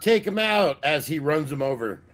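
A man's voice: fairly high pitch, big pitch swings, normal speed, fairly bright tone, a little hiss or hum. A man playing a game with a friend, tossing in dry quips. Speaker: co-op gaming partner. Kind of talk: casual gameplay chat.

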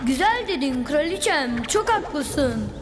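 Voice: high-pitched, sing-song, takes it slowly, heavily acted. A performer voicing a curious young child in a children's cartoon, inquisitive and playful, with music behind. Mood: mock regal. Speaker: cartoon character.

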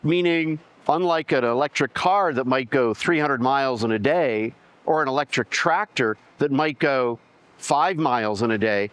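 A man's voice: mid-range voice, moderate pace, fairly bright tone, dry recording. An older man presenting outdoors in a plain, conversational way, gesturing as he explains. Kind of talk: explainer talk to camera. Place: outdoors by solar panels and at a winery.

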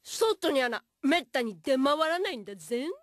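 Brash, lively tomboy. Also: female voice, sits high, normal speed, dry recording, clean studio sound. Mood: gruff, cocky. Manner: blunt, slangy, cocky. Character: brash, tomboyish, rough-tongued young woman. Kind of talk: anime radio drama acting.

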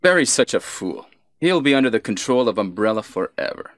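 An actor playing a cold, scheming villainous commander in a video game, deliberate, condescending and menacing in stilted cutscene delivery. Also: male voice, medium pitch, sing-song, no echo, close to an everyday voice.

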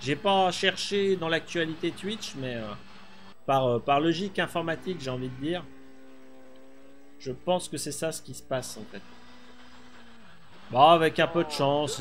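A man's voice: a little high, normal speed, pauses often, slight background noise. A middle-aged man streaming a rally game from a small room, chatting casually and thinking aloud with many fillers. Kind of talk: live gameplay chat.

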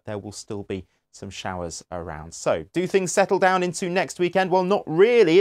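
Male weather presenter, forties, calm and clear, middle pitch, dry studio lapel sound. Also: no echo. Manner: measured, clear broadcast delivery. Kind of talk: scripted weather forecast. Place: studio in front of weather graphics.

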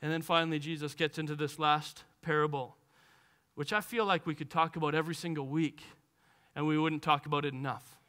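A man in his thirties preaching a sermon on a large church stage, animated and acting out the voices of people in the text, heard through a headset mic. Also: fairly high pitch, brisk. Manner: animated, gestures widely and acts out voices from the text. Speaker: pastor.

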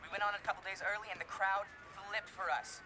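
A cocky young musician calling his sister, played by a young man. He is chatty and boastful and talks quickly. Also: high voice, flat delivery, noisy background, lightly acted.

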